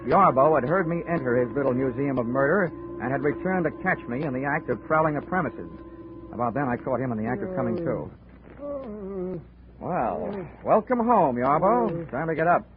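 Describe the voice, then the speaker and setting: A man's voice: mid-range voice, lightly acted. A man playing a hard-boiled insurance investigator, narrating with dry wisecracks in a 1940s radio detective drama. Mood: taunting. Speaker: narrator and lead. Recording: tinny old radio recording with music.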